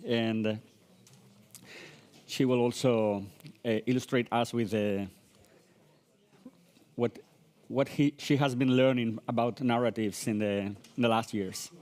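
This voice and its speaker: A man moderating a conference session, introducing the next speaker in halting English while searching for words. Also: medium pitch, steady tone, a little mellow.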